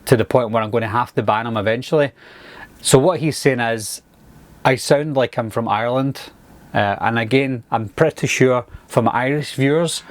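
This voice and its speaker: A man's voice: medium pitch, dry recording. A man with a Glaswegian accent, talking casually and earnestly to his viewers, with some hesitations and restarts. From Glasgow, Scotland. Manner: earnest, patient, lots of fillers and restarts. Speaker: YouTuber. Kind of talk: casual talk to camera.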